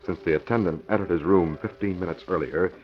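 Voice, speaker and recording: Narrator for vintage crime serial: man, very low voice, formal and suspenseful, dull lo-fi radio sound. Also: lightly acted. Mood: grave, suspenseful. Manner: formal, dramatic, measured. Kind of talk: dramatic old-time radio narration. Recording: dull, lo-fi old radio recording.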